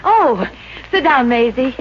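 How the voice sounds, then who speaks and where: Give me a naive, jittery young heiress, high-voiced and breathless, on a dull old radio recording. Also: female voice, takes it slowly, soft background music. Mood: worried. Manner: breathless, excitable, giggly. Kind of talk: 1950s radio comedy acting.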